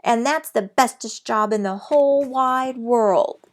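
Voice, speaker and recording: A woman voicing an excitable, bossy little kindergarten girl who gets loud and whiny when upset. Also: a little high, measured pace, voice pushed up, lightly acted. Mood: defiant.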